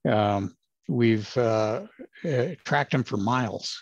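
A man in his sixties, a retired ranger, telling his stories in a measured, plain-spoken way with many fillers. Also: lowish voice, darkish tone, no room sound, lo-fi sound. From Los Angeles, United States. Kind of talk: casual interview storytelling.

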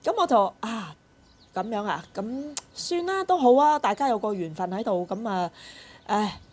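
Animated woman chatting in Hong Kong Cantonese accent. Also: medium pitch, normal speed. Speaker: podcast co-host. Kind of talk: casual chat, personal storytelling.

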